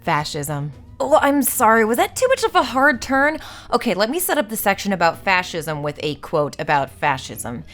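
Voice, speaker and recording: A young woman narrates a film essay from a script, her pitch rising and falling in a lively way. She slips in dry, sarcastic jabs between points, and faint music plays under her voice. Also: moderate pace. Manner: wry, sarcastic asides. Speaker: video essay host.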